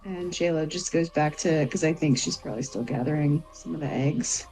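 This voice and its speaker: A woman's voice: sits low, moderate pace, warm tone, faint music behind, rough recording. A woman playing a tabletop game, casually narrating and speaking for her character. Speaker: player. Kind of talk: improvised roleplay narration, casual.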